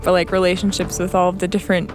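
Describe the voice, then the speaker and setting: A woman's voice: lowish voice, level tone, racing. Casual woman guest with music behind. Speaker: guest audio engineer and sound designer. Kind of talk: casual interview chat.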